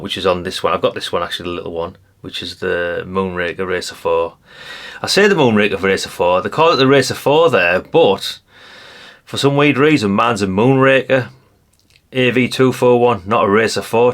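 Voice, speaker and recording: A man's voice: fairly low pitch, fairly bright tone. A man with an English accent giving a casual, unscripted show-and-tell commentary in a rambling, matter-of-fact, colloquial way. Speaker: hobbyist presenter.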